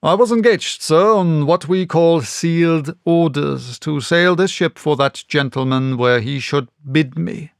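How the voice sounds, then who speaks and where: A sharp, stern ship's captain, as a male reader acts him out in a storybook. He speaks bluntly and formally, with clipped insistence and a raised pitch, recorded close and dry. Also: measured pace, vocal fry, few pauses, clean tone, dry recording. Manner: plain-spoken, clipped, insistent. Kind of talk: audiobook character reading.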